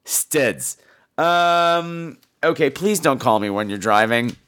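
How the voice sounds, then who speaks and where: A man's voice: medium pitch, lively intonation, slow, fairly bright tone, clear, resonant tone. A man with a laryngitis-sore throat, chatting off the cuff as a podcast host in a campy, catty, sarcastic and sweary way. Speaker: podcast host taking listener voicemails. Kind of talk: casual, off-the-cuff talk show chat.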